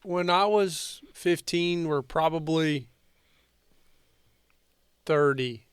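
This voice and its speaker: A man in his thirties co-hosting a podcast with slow, deadpan wisecracks. His voice is a little higher than most and smooth and clear. The room sound is dry. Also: dry recording. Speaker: podcast co-host. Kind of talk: casual chat.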